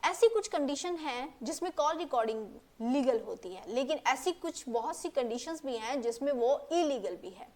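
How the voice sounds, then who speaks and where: A woman's voice: high-pitched, animated, moderate pace, few pauses, a little mellow. A woman presenting an informal explainer lesson, rephrasing points as she goes and mixing English legal terms into her speech.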